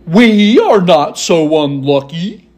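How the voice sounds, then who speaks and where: A man voicing a thoughtful, self-satisfied scarecrow ruler in a storybook, speaking deliberately. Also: fairly high pitch, sing-song, darkish tone, exaggerated voice. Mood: contented. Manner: slow, deliberate.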